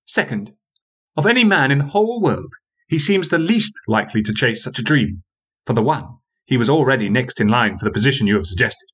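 A man voicing a sharp, skeptical young woman lawyer who speaks in a cutting, coolly dismissive way. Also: medium pitch, very animated, a little fast, husky, highs cut off, pitched above the performer's own voice.

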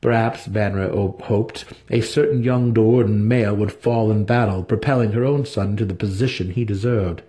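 A man's voice: lowish voice, steady tone, moderate pace, few pauses, dark tone. A man narrating a fantasy novel as a dramatic audiobook reading.

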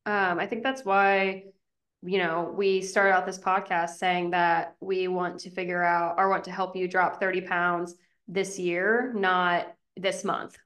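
A woman's voice: fairly low pitch, little pitch movement, measured pace, fairly bright tone. A woman co-hosting a fitness podcast, chatting casually and restarting her thoughts as she goes. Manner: conversational, trailing restarts. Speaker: podcast co-host. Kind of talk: casual advice chat.

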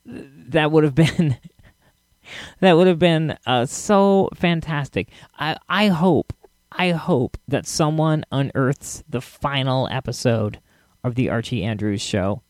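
A man hosting a podcast solo, talking casually in a rambling, halting way with chuckles mixed in. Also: a little high, a little mellow, no room sound. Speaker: podcast host.

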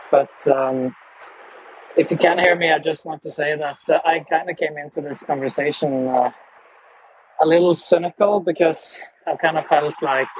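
A man from Norway, speaking earnestly and eagerly from beside a noisy road. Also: on the high side. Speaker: audience member. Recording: faint road noise behind.

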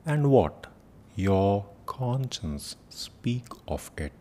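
A man leading a guided meditation as teacher, giving soft-spoken instructions with long pauses. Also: very animated. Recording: noisy with low rumble.

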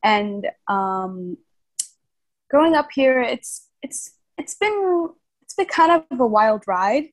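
A woman's voice: on the high side, animated, slow. A young woman from Vietnam speaks casually as a podcast guest, thinking aloud, rephrasing herself and often saying "like". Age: young adult. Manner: reflective, self-correcting, frequent "like". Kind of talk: casual interview conversation.

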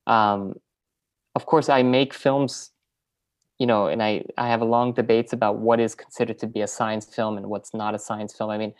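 A woman filmmaker and teacher, in a relaxed interview, who talks in loose, rambling sentences full of fillers and restarts. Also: very deep voice. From French Venezuelan, raised in New York. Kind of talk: casual interview conversation.

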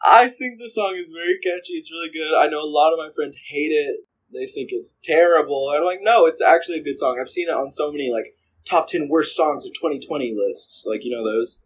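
A man's voice: a little high, brisk, breathy edge. A young man co-hosting a podcast in casual chat, playful and laughing often. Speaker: podcast co-host. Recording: poor audio quality.

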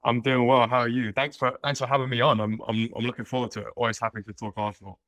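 A man's voice: medium pitch, little pitch movement, fast talker, a bit bright, no echo. A man from London with a London accent, a podcast guest talking casually, with many restarts and fillers. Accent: London English. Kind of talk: casual interview chat.

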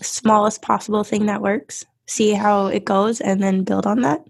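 A woman hosting a casual tech podcast panel, her voice a little hoarse from being sick, keeping things moving with friendly, quick introductions. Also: medium pitch, flat delivery. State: hoarse from illness. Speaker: podcast host.

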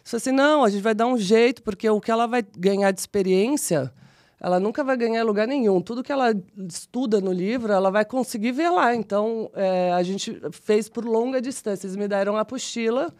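Casting: woman, 40s, São Paulo Brazilian accent, lively self-mocking guest storyteller. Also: fairly high pitch, brisk. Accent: São Paulo Brazilian Portuguese. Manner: chatty, animated, self-deprecating, frequent restarts. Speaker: guest, model and actress. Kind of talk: casual interview storytelling.

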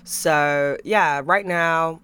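A young man hosting a podcast, chatting casually about books and TV, swearing freely and laughing now and then, his voice a bit croaky. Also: a little high, extremely slow, background noise. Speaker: podcast host.

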